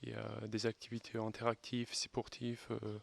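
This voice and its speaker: Flat-voiced young Turkish man. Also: fairly low pitch, moderate pace, vocal fry. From Istanbul, Turkey. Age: 20s. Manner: hesitant, frequent fillers. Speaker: volunteer. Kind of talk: interview testimony.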